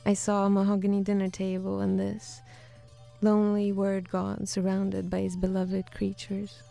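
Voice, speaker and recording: Woman, Swedish accent, gravelly voice, music faint behind, studio guest poet. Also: mid-range voice, monotone, dark tone. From Sweden. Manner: laughs easily, reads poetry slowly and deliberately. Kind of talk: casual chat and live poetry reading. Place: radio studio.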